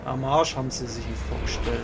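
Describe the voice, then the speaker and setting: A man's voice: mid-range voice, steady tone, brisk, vocal fry, crowd noise. A young man playing a video game with a friend, making dry, sarcastic jokes. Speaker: co-op player. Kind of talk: casual co-op gaming chat.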